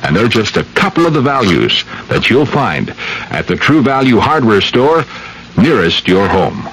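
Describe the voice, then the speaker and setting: A man with an American accent reading a scripted radio advertisement as a pitchman. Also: sing-song, moderate pace, a bit bright. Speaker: commercial pitchman. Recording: slightly noisy old radio broadcast.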